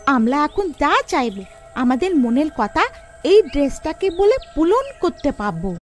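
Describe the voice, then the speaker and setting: A woman voicing an excitable little witch girl with childish speech in a cartoon story. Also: high voice, a little slow, faint music behind. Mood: excited, eager.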